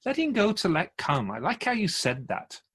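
An actor playing a wise, otherworldly male keeper of souls in a radio drama interview, gently teaching like an outsider to humankind, with a husky voice. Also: high-pitched, wildly swooping pitch, normal speed, full character voice. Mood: reassuring, teaching.

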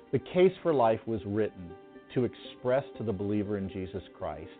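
A man giving an articulate, persuasive promotional spot as an author, with music behind him on a dull recording. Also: on the low side. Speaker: author promoting his book. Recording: dull lo-fi sound over music.

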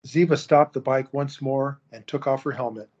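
A man narrating an audio drama in a steady storytelling manner. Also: medium pitch, moderate pace. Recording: dull lo-fi recording.

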